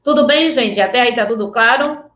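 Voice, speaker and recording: Woman w/ northeastern brazilian accent teaching online, higher voice, kinda echoey room, keeps checking students follow. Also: normal speed, a bit bright, lo-fi sound. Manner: earnest, checks in with students often. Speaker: Portuguese teacher. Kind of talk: online class lecture with slides.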